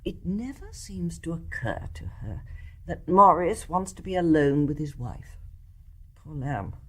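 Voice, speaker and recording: An older actress with an English accent playing a genteel, kindly mother with a measured manner in a radio play. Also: low-pitched, very animated, a bit bright, exaggerated voice. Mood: confiding.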